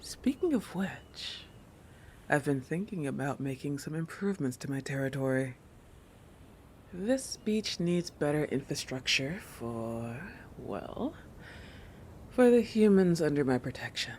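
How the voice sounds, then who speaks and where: A woman playing a playful, possessive, territorial shark girl in an intimate roleplay audio, teasing and self-assured, with breathy asides that drop into whispers. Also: low-pitched, animated, unhurried, darkish tone, a little hiss or hum. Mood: musing, eager.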